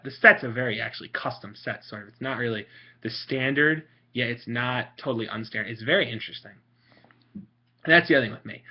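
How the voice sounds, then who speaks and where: Young guy ranting sarcastic, kinda husky, muffled lo-fi mic, lots of um and like. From Italian. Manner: sarcastic, mocking, frequent fillers. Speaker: vlogger. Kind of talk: casual rambling commentary. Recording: muffled low-fi home recording.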